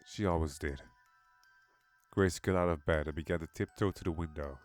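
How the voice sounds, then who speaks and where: Low-voiced man narrating horror. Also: a little fast. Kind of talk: dramatic scripted horror narration. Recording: music behind the voice.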